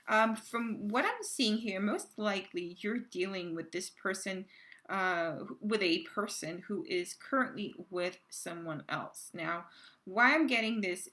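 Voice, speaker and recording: A woman reading tarot cards to her viewers in a casual, halting way, full of fillers and restarts, her voice a little raspy from a cold. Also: medium pitch, bright, forceful tone. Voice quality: gravelly. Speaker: tarot card reader.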